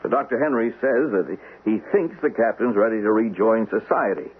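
Low-voiced, loyal husband, old radio. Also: male voice, animated, fairly quick, slightly rough. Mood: uneasy calm. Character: husband, loyal army buddy, calm but uneasy. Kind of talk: old-time radio drama acting. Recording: narrow, tinny old radio recording.

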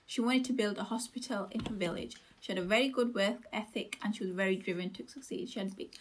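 A woman co-hosting a casual true-crime podcast, teasing her friend and chiming in with quick remarks. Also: medium pitch, a little hiss or hum. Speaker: podcast co-host.

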